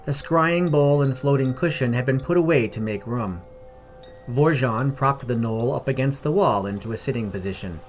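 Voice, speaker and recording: A man's voice: mid-range voice, normal speed, faint music behind. A man reading scripted fantasy fiction as the narrator.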